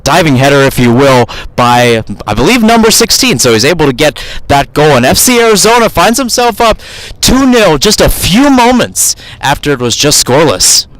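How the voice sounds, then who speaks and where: A man's voice: a little high, sing-song, normal speed, a bit bright, dry recording, low-quality recording. A man giving live radio play-by-play of a soccer match from outdoors at the field, calling it in short running phrases with asides. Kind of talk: live radio sports commentary.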